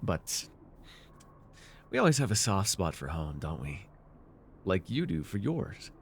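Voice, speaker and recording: A man's voice: low-pitched, sing-song, measured pace, a little hiss or hum, full character voice. A man playing an arrogant, seductive fantasy warlord in an intimate roleplay, teasing and theatrical as he speaks to one listener. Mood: smug, gloating. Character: arrogant, seductive male fantasy villain warlord, dream-wielding conqueror. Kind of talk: immersive ASMR fantasy roleplay acting.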